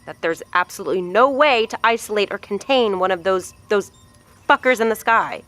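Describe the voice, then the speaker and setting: A woman's voice: medium pitch, expressive, normal speed, a bit bright, faint music behind, lightly acted. An actress playing a blunt, foul-mouthed woman who heads a research facility, talking in a commanding way in an audio drama. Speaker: facility head.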